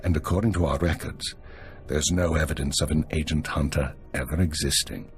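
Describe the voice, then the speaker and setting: Male calculating intelligence minister, game drama: low-pitched, measured, authoritative, unflappable. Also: fairly even intonation, a crowd in the background. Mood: cool, controlled. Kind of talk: video game cutscene acting.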